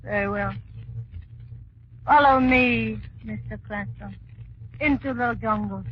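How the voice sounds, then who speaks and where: An actress playing a menacing native jungle woman with slow, ominous delivery in 1940s radio drama style. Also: a little high. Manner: ominous, slow, deliberate warnings. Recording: noisy, muffled 1940s radio recording.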